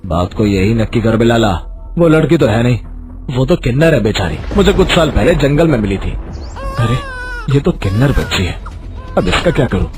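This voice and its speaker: A man's voice: mid-range voice, sing-song, normal speed, lightly acted. An actor playing a kind-hearted older village father with a rustic, colloquial, bantering way of talking in an acted story. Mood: pitying, folksy. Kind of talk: Hindi moral story acting. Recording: music behind the voice.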